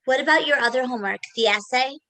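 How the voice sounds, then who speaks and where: Obliging android classmate, played by a woman: bright crisp edge, highish, formal and literal, stage reading. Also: fast. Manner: flatly literal, formal, precise. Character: literal, polite female computer schoolgirl, unfeeling, obliging.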